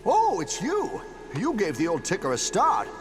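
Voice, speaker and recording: An actor playing an eccentric, cheerful old plumber in a video game, rambling along in folksy colloquial talk. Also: male voice, high voice, huge pitch swings, normal speed, music in the background, exaggerated voice. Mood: cheerful, puzzled. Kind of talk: video game cutscene acting.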